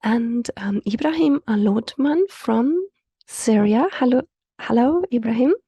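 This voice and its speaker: Slow gravelly woman. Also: fairly high pitch, lively intonation, no echo, muffled recording. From South Africa. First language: Afrikaans. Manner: slow and halting, often dropping into a soft whisper. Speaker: host and facilitator. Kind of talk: introducing guests on an online community call.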